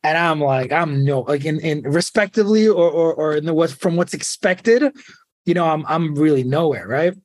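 A man's voice: on the high side, moderate pace, no echo. A man in his thirties from Ukraine, a podcast guest telling his life story in a candid, self-deprecating way. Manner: candid, self-deprecating, speeds up when excited. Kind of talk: casual storytelling interview.

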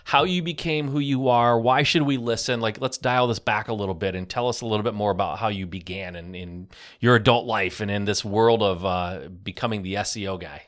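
A man's voice: lowish voice, a little fast, hardly any pauses, a bit bright. Agreeable male podcast host. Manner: affirming, frequent short agreements. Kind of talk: casual podcast interview.

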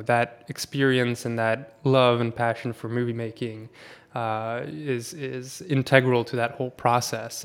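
A man's voice: medium pitch, smooth. A man raised in Sweden, a podcast guest talking casually and at length, with many fillers and restarts.

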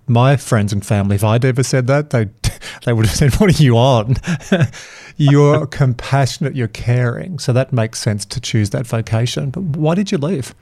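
A man's voice: mid-range voice, warm tone. A man hosting a podcast, interviewing in an enthusiastic, chatty, conversational way. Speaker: podcast host.

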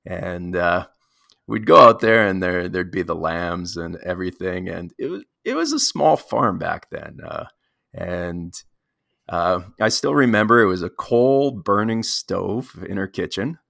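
A man hosting a podcast interview, lively in his intro, then relaxed and halting with many fillers. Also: deep voice, vocal fry, dry recording, clean studio sound. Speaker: podcast host.

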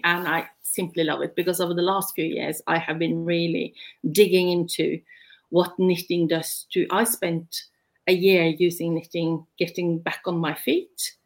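A middle-aged Norwegian-accented woman co-hosting a relaxed welcome chat. Her voice sits a little low, and she laughs lightly and often pauses on small fillers as she talks. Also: vocal fry. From Norway. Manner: chatty, laughing, hesitant with many fillers. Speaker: co-host, knitting teacher.

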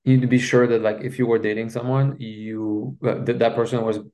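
A man from Belgium whose first language is French, speaking English with a Belgian French accent, recounting his past as a podcast guest in a halting way with frequent restarts. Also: medium pitch, flat delivery, a little mellow. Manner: halting, with many restarts and fillers. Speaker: guest, relationship coach. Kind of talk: personal story in a podcast interview.

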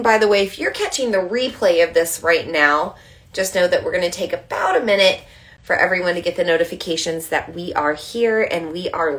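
A woman hosting a live online moon circle, slightly stuffy from allergies, chatting casually and gently guiding breathing. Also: lowish voice. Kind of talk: casual livestream with guided breathing. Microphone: livestream camera mic.

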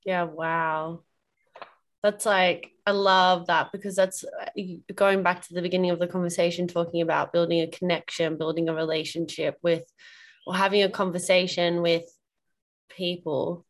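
Host: woman, slightly low voice, warm-hearted enthusiastic interviewer, casual chat. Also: little pitch movement, moderate pace. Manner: enthusiastic, affirming. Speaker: podcast host.

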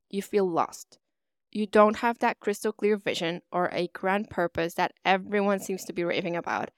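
A woman's voice: mid-range voice, no room sound, studio quality. A woman hosting her own podcast in an upbeat, motivational, chatty way, with a stuffy, slightly nasal voice from a cold. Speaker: podcast host. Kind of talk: solo podcast monologue.

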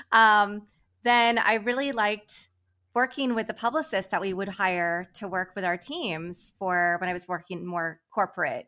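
A woman's voice: lowish voice, a bit bright. A middle-aged woman speaking as a podcast guest, explaining her work in an informal, conversational way. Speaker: podcast guest, PR agency founder. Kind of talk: casual conversational interview.

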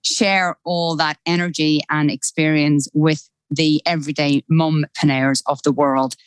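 A middle-aged Irish woman with a low, deep voice chats eagerly as a podcast guest. Her speech is conversational and full of enthusiasm. The recording is dry and close, with no room sound. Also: level tone, unhurried, clean tone, dry recording. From Ireland. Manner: chatty and enthusiastic, often starts with "look". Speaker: podcast guest, time management expert. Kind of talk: casual interview conversation.

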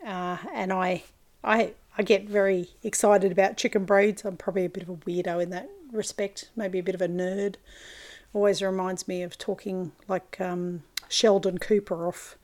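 An Australian woman hosting her farming podcast, chatting casually in a hoarse, gravelly voice, rambling a little with lots of fillers. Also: mid-range voice, little pitch movement, normal speed, a little mellow. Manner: chatty and rambling, with many fillers and self-corrections. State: hoarse, gravelly from a chest infection. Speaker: podcast host and farmer. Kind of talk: casual solo podcast chat.

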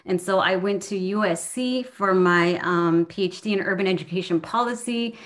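A woman's voice: mid-range voice, normal speed. A woman from Southern California, a professor and guest, talking casually and earnestly with frequent ums. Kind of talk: casual conversation.

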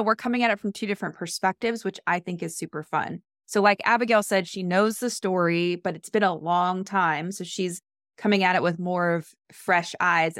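A woman's voice: fairly low pitch, a bit bright, dry recording, studio quality. A woman book coach guesting on a podcast, chatty and eager. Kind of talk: casual podcast chat.

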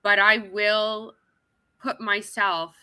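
A woman's voice: medium pitch, level tone, very slow, bright, forceful tone, clean tone, no room sound. A woman from Canada, talking as an interview guest in a chatty, upbeat way. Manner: chatty and upbeat, with frequent restarts and laughs. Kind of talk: casual interview chat.

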